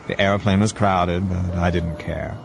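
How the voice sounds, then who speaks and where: Story narration: male actor as weary, brooding hardboiled narrator, low register, measured, scored with music. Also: steady tone, gravelly. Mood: brooding, reflective.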